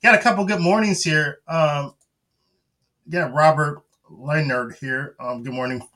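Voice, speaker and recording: A man's voice: fairly high pitch, a little slow, bright, forceful tone. A man hosting a live stream, chatting casually, affirming his guest and reading out viewer comments.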